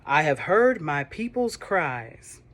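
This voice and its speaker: The solemn voice of God in a children's storybook reading, as a woman puts it on, a bit lower and slower than her usual talk. It speaks with grave, deliberate weight. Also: sing-song, fairly bright tone, exaggerated voice.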